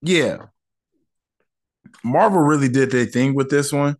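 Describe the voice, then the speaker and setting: A man hosts a solo podcast and breaks down an episode in an easygoing, conversational way. His voice sits at an ordinary middle pitch for a man. He leans on slang and plenty of fillers as he talks things through. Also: a little slow. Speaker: podcast host reviewing an episode. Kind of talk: casual solo podcast review.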